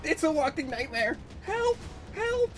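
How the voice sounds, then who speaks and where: A man plays a jittery comic-book bystander, stammering and easily alarmed. His exclamations have animated pitch, with faint music behind. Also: unhurried, exaggerated voice. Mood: terrified.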